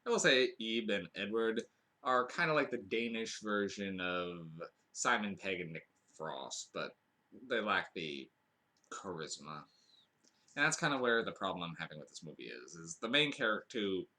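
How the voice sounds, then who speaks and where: A man's voice: lowish voice, sharp tone. A man hosting a podcast, giving a casual, rambling film review full of fillers and restarts, with dry asides.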